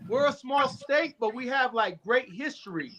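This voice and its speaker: A man's voice: extremely high pitch, normal speed. A man from Puerto Rico, a boxing coach, chatting casually in a group and telling stories with street slang. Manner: animated, storytelling, street slang.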